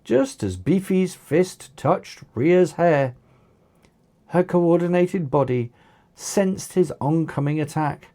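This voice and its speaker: A narrator reading an action story as its author, with animated, dramatic delivery and acted dialogue. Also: mid-range voice, takes it slowly. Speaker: author narrating own book.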